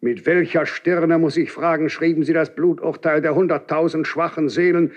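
An actor playing an aged, unyielding Grand Inquisitor in a classical German radio drama, speaking in a severe, measured, declamatory and reproachful way. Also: male voice, fairly high pitch, fairly even intonation, close to an everyday voice. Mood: scornful. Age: older. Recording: dull 1970s radio drama recording.

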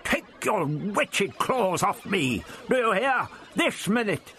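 A man's voice: sits high, expressive, takes it slowly, a bit bright, slight background noise, pitched above the performer's own voice, exaggerated voice. An actor voicing an indignant, defiant elderly male doctor who snaps back testily. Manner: snapping, testy.

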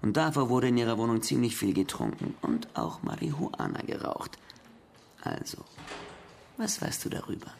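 Radio crime drama: a gravelly, confident detective, dry and needling, mid-pitched, steadily pressing his questions. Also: huge pitch swings, moderate pace, a little mellow, slight background noise. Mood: methodical, pressing. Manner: methodical, pointed questioning. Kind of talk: German radio play acting, police interrogation.

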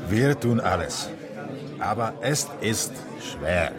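A man playing a polite, methodical Czech police officer in a radio drama, speaking halting German with a Czech accent. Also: lowish voice, very animated, a crowd in the background. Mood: earnest. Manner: halting foreigner's German, courteous. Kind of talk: naturalistic radio drama acting.

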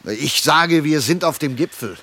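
A man's voice: on the high side, lively intonation, measured pace, fairly bright tone, heavily acted. An actor playing a bossy, cocksure fugitive man who scolds and lectures his comrade impatiently, in a German radio play. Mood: impatient, scolding.

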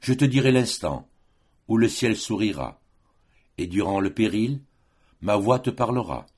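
A solemn lover from an epic poem, recited by a man, speaks tenderly to his beloved. The voice is husky and unhurried, with a declamatory, protective tone. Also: lowish voice, expressive, darkish tone. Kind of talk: poetic recitation.

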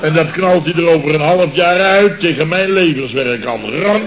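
An actor playing a blustering, sarcastic construction boss in a radio comedy serial, repeating himself in exasperation, with a Netherlandic Dutch accent. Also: male voice, a little high, normal speed, crowd noise, close to an everyday voice. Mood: bitterly mocking. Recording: dull, noisy old radio recording.

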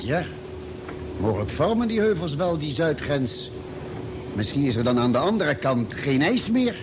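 An actor playing a thoughtful, cautious doctor on a space expedition in an old radio drama. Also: male voice, sing-song, moderate pace. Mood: pensive, doubtful. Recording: noisy old radio drama, vehicle rumble.

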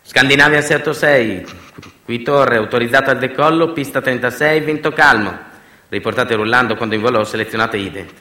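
A man playing a brisk, formal airport tower controller in a theatrical monologue, giving clipped procedural radio instructions. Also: medium pitch, sharp tone, natural voice. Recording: roomy, echoing sound.

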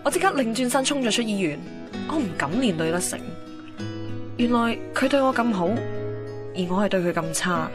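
A woman's voice: on the high side, lively intonation, moderate pace, natural voice. A woman playing a young, guarded and wry Hong Kong working woman in a Cantonese radio drama, reflective and slipping in English words. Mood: wistful reflection. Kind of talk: radio drama narration and dialogue. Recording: background music under the voice.

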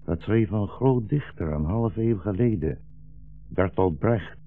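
An actor playing a weary, bitter old blind rabbi fleeing war, solemn and brooding, in a 1960s Dutch radio drama. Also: male voice, lowish voice, lively intonation, measured pace, vocal fry, soft background music, heavily acted. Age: older. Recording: dull, muffled 1960s radio recording.

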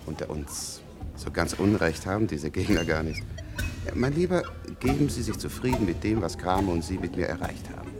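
An actor in a German radio play, playing a weary, very old scientist who talks hesitantly and reflectively in a husky, gravelly voice. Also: male voice, sits low, animated, normal speed, over music. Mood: resigned, wry. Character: weary, very old scientist with a longevity-treated middle-aged body.

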